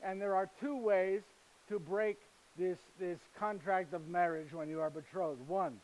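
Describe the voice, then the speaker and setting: A gentle, humorous husband and carpenter tells his story in broken, foreign-sounding English, played by a male actor. His voice sits high for a man, and he keeps asking his listeners "yes?" as he goes. The delivery is a chatty stage monologue aimed straight at the audience. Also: fairly even intonation, unhurried, poor audio. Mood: fond storytelling. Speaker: actor performing a first-person character monologue. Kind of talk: live theatrical monologue.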